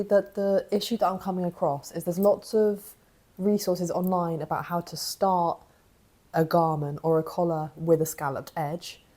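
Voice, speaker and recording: A young woman, croaky from a cough, chatting casually in a knitting vlog as she thinks aloud. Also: fairly low pitch, warm tone. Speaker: knitting vlogger.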